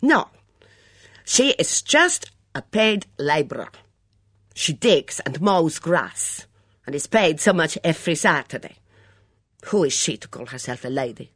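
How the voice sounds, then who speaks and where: A suspicious foreign cook , as a reader puts her on, speaks in a thick accent, self-pitying and melodramatic. Her pitch leaps up when she protests. The sound is dry and close. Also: lively intonation, normal speed, dry recording. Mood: resentful. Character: sullen foreign woman cook, dramatic, suspicious.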